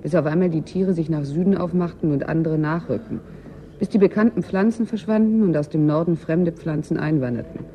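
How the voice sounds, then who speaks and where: An actress playing an earnest, gently ironic climatology student in a radio drama, speaking softly and reflectively. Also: female voice, deep voice, a little fast, highs cut off, close to an everyday voice. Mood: reflective, weary. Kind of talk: German radio drama acting, naturalistic dialogue.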